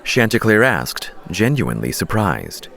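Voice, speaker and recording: Male narrator for fiction audiobook: lower-pitched, lively intonation, storytelling read. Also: measured pace.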